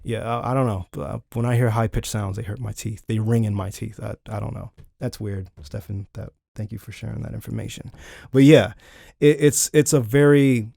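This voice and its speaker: A man's voice: fairly low pitch, fairly even intonation, a little fast, gravelly, warm tone, dry recording. A man giving a casual, chatty product review, talking informally to viewers on a close studio condenser microphone in a home studio. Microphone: close large-diaphragm studio condenser microphone.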